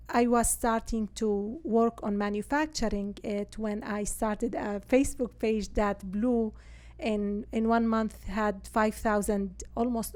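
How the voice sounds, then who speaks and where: A woman from Syria with an Arabic accent, a native Arabic speaker, talking earnestly and haltingly as a guest in a conversational interview. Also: mid-range voice, darkish tone. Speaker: interview guest, real estate agent.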